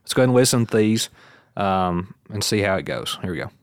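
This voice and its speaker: A relaxed male podcast host talks in a loose, rambling way and laughs easily. His voice sits a little low. The sound is close and dry, with no room echo. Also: a little fast, clean tone. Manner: rambling, easygoing, laughs often. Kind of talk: casual podcast chat.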